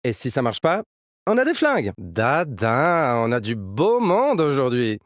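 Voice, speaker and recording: A man's voice: medium pitch, huge pitch swings, measured pace, dry recording, studio quality. A man playing an upbeat, cocky young musician fighter in a video game, with casual, playful team banter. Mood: playful, confident. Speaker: video game agent character. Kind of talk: game character voice lines.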